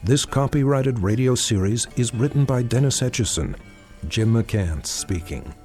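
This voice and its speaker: A man's voice: medium pitch, animated, a little slow, darkish tone, soft background music. A man working as a radio announcer, reading scripted closing credits.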